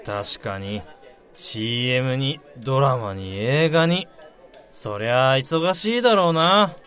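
Radio drama: nosy male pal, sharp bright tone, slow-paced colloquial banter. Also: mid-range voice, animated, soft background music. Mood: musing. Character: nosy, easily miffed man, old school buddy.

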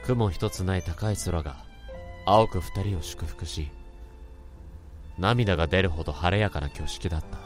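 A man's voice: low voice, moderate pace, long pauses, soft background music, close to an everyday voice. A man playing a remorseful office worker who hears others' thoughts, narrating his story in an introspective, self-questioning way. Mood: reflective. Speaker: narrator and lead character. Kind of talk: radio drama acting and narration.

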